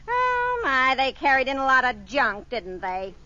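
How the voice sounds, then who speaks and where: An actress playing a folksy, gossipy, wisecracking middle-aged maid in a 1940s radio sitcom. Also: female voice, high voice, animated, slow, pushed and bright, heavily acted. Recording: muffled, very noisy old radio recording.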